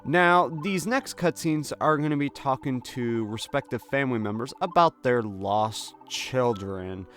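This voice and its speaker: Lively man doing casual game commentary. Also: mid-range voice, measured pace. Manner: jokey, conversational, slips into mock dialogue. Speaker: gaming video host.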